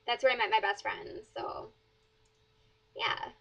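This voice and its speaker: Rambling teen girl with flat delivery. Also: fairly high pitch, unhurried, creaky. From southern Wisconsin, USA. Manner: rambling, many fillers and "like". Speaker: blogger answering questions. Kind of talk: casual Q&A vlog. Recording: dull lo-fi webcam audio.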